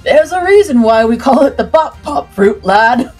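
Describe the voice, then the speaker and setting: Woman voicing a gruff, fatherly big ship's cook. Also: a little high, lively intonation, normal speed, a little mellow, soft background music. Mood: amused. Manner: folksy nautical dialect, indulgent scolding.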